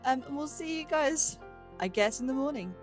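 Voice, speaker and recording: A woman's voice: sits high, animated, normal speed, soft background music. A woman giving casual let's play commentary, musing aloud and questioning herself as she goes. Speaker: host of a gaming let's play series.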